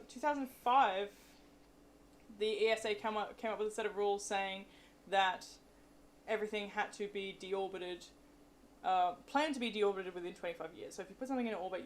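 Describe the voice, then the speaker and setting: A woman giving informal, candid explanations as a guest science speaker answering questions at a live talk. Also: medium pitch. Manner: chatty, explanatory, candid about limits of expertise. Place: presentation room with an audience. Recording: noisy live room recording.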